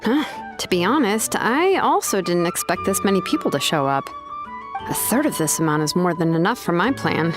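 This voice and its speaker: A woman's voice: lowish voice, wildly swooping pitch, normal speed. An actress playing a laid-back young female artisan in a video game, speaking in a casual, matter-of-fact and slightly hesitant way. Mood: focused. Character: laid-back, businesslike young woman, master name forger. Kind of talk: video game character acting. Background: music.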